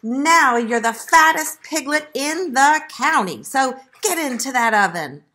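A woman's voice: sits high, expressive, a little slow, sharp tone, pitched above the performer's own voice, exaggerated voice. A woman voicing a hungry, gullible, blustering male fox from a storybook, emphatic and growling. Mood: bossy. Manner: emphatic, growling, easily exasperated. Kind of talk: children's storybook read-aloud.

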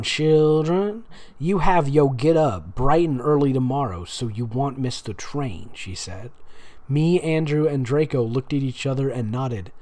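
Male reader-commentator, mid-pitched and very animated, sardonic and conversational. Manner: amused, sarcastic, breaks off to comment. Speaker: host reading fan fiction aloud with commentary. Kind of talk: dramatic reading with casual mocking asides.

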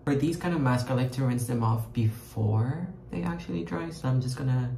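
Rambling young man with a gravelly edge. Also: medium pitch, brisk, mellow, slight background noise. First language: French. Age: young adult. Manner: chatty, rambling, self-mocking, many fillers. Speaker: vlogger. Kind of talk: casual travel vlog chat. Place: hotel room and bathroom, then night street market. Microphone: phone camera at arm's length.

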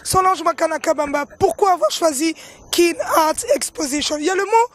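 A woman in her thirties hosting an interview, giving shout-outs and prompting her guest in a brisk announcing manner, holding a handheld mic. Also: very high-pitched. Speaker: interviewer, host. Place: decorated holiday light display, indoors.

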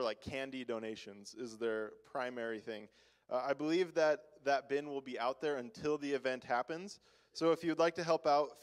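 A man's voice: a little high, clean tone. A young man on a church stage giving chatty announcements full of "like" and small laughs, then a short devotional. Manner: chatty, laughing, many "like" fillers. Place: church stage, large room.

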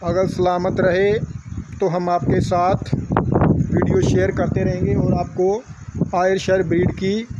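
A man with a high voice talks earnestly and promotionally in a casual farm walkthrough. He speaks in short bursts. The recording is outdoors and loud noise runs under his voice, with roosters crowing. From Punjab, India. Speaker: dairy farm owner showing cattle. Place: outdoor cattle pen on a farm.

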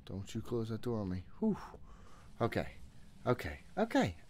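A man's voice: on the low side, huge pitch swings, normal speed, a little mellow, a little hiss or hum. A man in his thirties giving casual live commentary as he plays a horror game, jumpy and swearing freely as he talks to himself. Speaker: video game streamer. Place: small room at home. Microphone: desk microphone close to the mouth.

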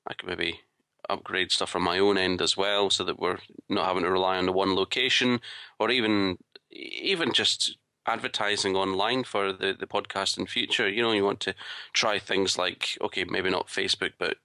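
A man's voice: sits low, creaky, bright, forceful tone, no room sound. A man co-hosting a podcast, chatting casually in a reflective, agreeable way. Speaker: podcast co-host.

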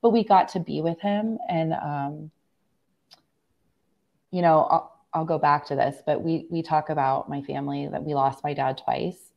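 Middle aged american lady, lowish croaky voice, bit hoarse, chatting on a video call about her life. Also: fairly even intonation, unhurried, muffled recording. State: hoarse after laryngitis. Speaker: interview guest. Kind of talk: personal storytelling in casual interview. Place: room at home, on a video call.

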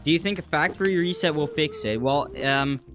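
A man's voice: on the high side, brisk, music in the background. A young man streaming a VR game live, chatting casually with viewers while he plays. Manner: relaxed, chatty, answers viewers while playing. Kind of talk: casual live gameplay chat. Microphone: desk mic, wearing a VR headset.

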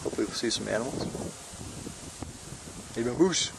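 A man's voice: mid-range voice, expressive, brisk, vocal fry, background noise. An older man casually narrating a hike outdoors, rambling and joking with lots of fillers, filmed on a handheld camera. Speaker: hiker filming a vlog. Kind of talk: casual narration while hiking. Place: outdoors on a mountain trail, windy.

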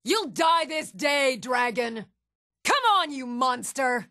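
An actress playing a steady, firm young woman in a fantasy video game, speaking with measured, earnest delivery. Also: high voice, dry recording, studio quality, exaggerated voice. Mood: fierce, panicked. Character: even-toned young adult woman of a fantasy world, steady and stern.

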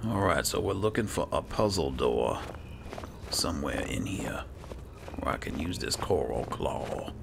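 A man with a very gravelly voice talks through a game in a low-key murmur, thinking aloud. His delivery is slow, but the pitch moves around in a lively way. Clear ambient noise sits behind him. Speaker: gamer host playing and roleplaying a fantasy game. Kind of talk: casual game commentary with read-aloud notes.

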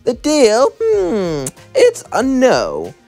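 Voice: medium pitch, wildly swooping pitch, drawn-out words, darkish tone, faint music behind, pitched above the performer's own voice, exaggerated voice. A man voicing a cheerful, goofy cartoon plumber hero with a mock Italian accent and bouncy, simple speech. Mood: defiant, playful. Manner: exaggerated, broken simple English, bouncy.

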